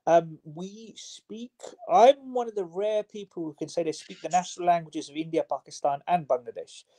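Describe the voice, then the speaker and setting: A man's voice: a little high, normal speed, mellow, low-quality recording. A man, a personal trainer, answering interview questions in a chatty way, with many fillers and restarts. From Southwest London.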